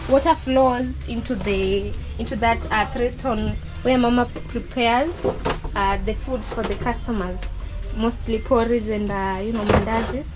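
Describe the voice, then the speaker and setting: A woman's voice: fairly high pitch, fairly even intonation, measured pace, music in the background, poor audio. A Kenyan woman giving casual vlog narration while filming a family shop kitchen outdoors, explaining things with plenty of "you know" fillers. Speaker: vlogger filming a relative's shop.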